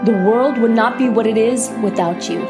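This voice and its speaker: A woman's voice: on the high side, moderate pace. A woman delivering a scripted motivational voice-over as a show intro. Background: music.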